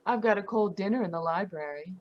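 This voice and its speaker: A woman's voice: mid-range voice, fast, natural voice. A woman playing a detective's playful, possessive girlfriend in 1940s radio style, coy and insistent. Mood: playful, coaxing. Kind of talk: 1940s radio drama acting. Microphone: video call. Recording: dull, lo-fi video call audio.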